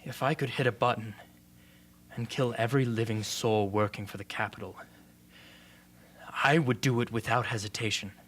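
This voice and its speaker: A man's voice: mid-range voice, steady tone, normal speed, long pauses, fairly bright tone, close to an everyday voice. A man playing an earnest, defiant young rebel and loyal friend in an amateur radio drama. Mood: grave, intense.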